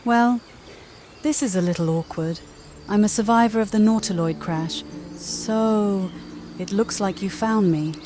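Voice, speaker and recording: A woman voicing a calm, direct healer adventurer in a fantasy game, with a measured, questioning manner. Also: mid-range voice, a little hiss or hum, close to an everyday voice. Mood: wry. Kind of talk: amateur game character voice-over.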